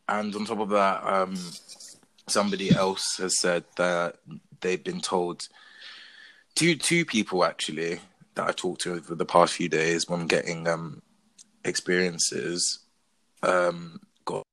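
A young Englishman with a low, deep voice and a bright, forceful edge to it, speaking earnestly in a casual conversation. He talks from the heart and stops and restarts mid-thought as he works through his own experiences. The sound is close and dry. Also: slow pace, long pauses, no echo. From England. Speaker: discussion participant. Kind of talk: casual discussion.

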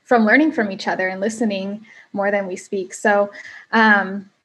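A young woman with a soft, quiet voice, a coach answering interview questions with many pauses and ums. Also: medium pitch, fairly even intonation, slightly rough, rough recording. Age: young adult. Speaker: restorative coach, guest.

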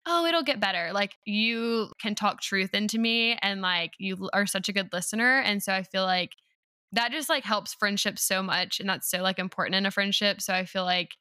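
A young woman in her twenties chats with her best friend on a podcast, giggling often and talking in easy, rambling sentences. Her voice is bright and forward. The sound is close and dry. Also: medium pitch, moderate pace, no room sound. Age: 20s. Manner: bubbly, giggly, chatty. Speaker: podcast co-host, teacher. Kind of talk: casual chat between friends.

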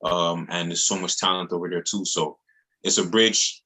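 A man with a deep, low-pitched voice. He talks like an artist in a relaxed interview, earnest and slangy, circling back over his phrases as he makes a point. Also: moderate pace, husky. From Montreal, Canada. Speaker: music artist being interviewed. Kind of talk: casual interview chat.

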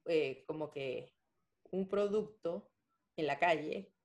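Woman, young adult, slightly low voice, chatty, lots of fillers, animated storytelling. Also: takes it slowly. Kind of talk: casual chat.

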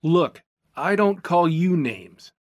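A woman's voice: medium pitch, sing-song, moderate pace, dry recording, close to an everyday voice. A woman voicing a puzzled, easygoing young man who sounds bewildered and defensive in an audiobook. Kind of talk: audiobook character voice.

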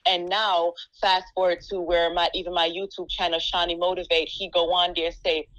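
A woman's voice: fairly low pitch, moderate pace, dry recording, dull audio. A woman with a Jamaican accent giving a conversational personal testimony, quoting people as she tells it and often checking in with "right?". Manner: animated storytelling, acting out quoted remarks, repeated "right?" tags. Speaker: pastor and show guest giving testimony.

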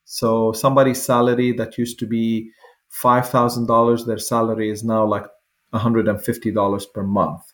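A man's voice: lowish voice, flat delivery, moderate pace. A man, a physician guest speaking in a wry, conversational way. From Lebanon. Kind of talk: panel interview answer.

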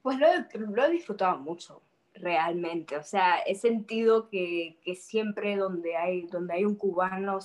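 A woman's voice: medium pitch, unhurried. Hesitant young Spanish-born woman. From Spain. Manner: hesitant, repeats words while thinking. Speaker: interview guest. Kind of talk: casual interview conversation.

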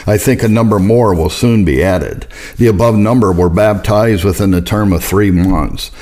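A man narrating historical religious writings in a measured, formal, solemn way, like an audiobook. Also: on the low side, a little mellow. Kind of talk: scripted narration, audiobook reading.

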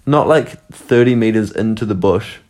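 Low-voiced young man, mild Kiwi accent. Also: normal speed, darkish tone. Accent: mild New Zealand. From New Zealand. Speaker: podcast co-host. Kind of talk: casual chat.

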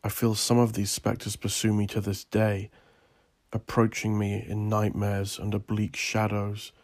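A man narrates from a script with solemn, measured care, as an author hosting his podcast. His voice is low and fairly even in pitch. The sound is close and dry. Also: no echo. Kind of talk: scripted dramatic gothic narration and podcast sign-off.

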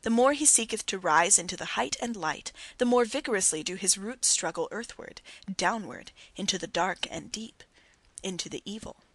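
A woman reading the part of a calm male prophet in an audiobook, measured and solemn. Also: mid-range voice, no room sound. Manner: measured, solemn archaic speech.

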